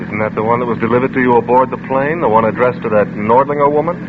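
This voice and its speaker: Actor as a glib charmer, tinny old radio. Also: male voice, medium pitch, fast, background noise, natural voice. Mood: breezy denial. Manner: breezy, sarcastic banter. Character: glib, charming, flippant male suspect, smoothly teasing. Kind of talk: 1940s-50s radio drama acting.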